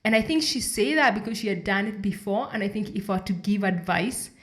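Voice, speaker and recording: A woman's voice: mid-range voice, expressive, fairly quick, fairly bright tone, echoey room. A young woman from Kenya, co-hosting a podcast in casual conversation, hesitant and searching for her words. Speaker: podcast co-host. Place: indoor room, sitting on a couch. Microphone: desk condenser mic in front of her.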